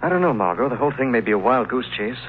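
An actor playing a calm, confident gentleman detective in an old radio drama, brisk and polished. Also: medium pitch, slight background noise, natural voice. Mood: assured. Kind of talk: 1930s radio drama acting. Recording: tinny old radio broadcast.

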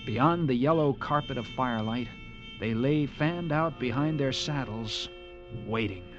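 An actor playing an earnest, uneasy young cavalry lieutenant, telling his story in measured, literary tones for a radio drama. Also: mid-range voice, highs cut off, lightly acted. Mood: tense, brooding. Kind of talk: dramatic first-person narration, radio drama acting. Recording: old radio broadcast, music behind.

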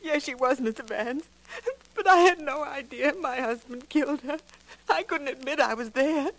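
A high female voice, a troubled, guilty wife in a 1940s radio mystery. She is melodramatic and pleading, wearing her distress openly. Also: moderate pace, rough recording. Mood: distraught, pleading.

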